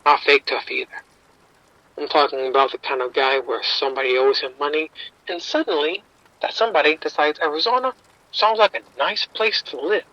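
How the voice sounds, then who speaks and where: A man's voice: fairly high pitch, a little fast, sharp tone, dry recording, dull audio. An actor playing a streetwise male bookie informant, wry and defensive, talking around the point in an audio drama.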